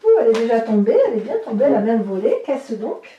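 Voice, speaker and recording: A woman's voice: a little high, big pitch swings, fast, mellow, dull audio. A woman giving an informal tarot reading to viewers, chatting and thinking aloud as she handles the cards. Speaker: tarot reader and channel host. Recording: roomy, distant mic with light noise.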